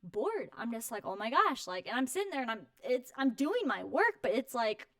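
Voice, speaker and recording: Woman, twenties, effusive high-energy interview guest, informal, quick to laugh, dry recording. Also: sing-song, a bit bright, dry recording. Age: 20s. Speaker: interview guest, home warranty company representative. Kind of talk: casual chat.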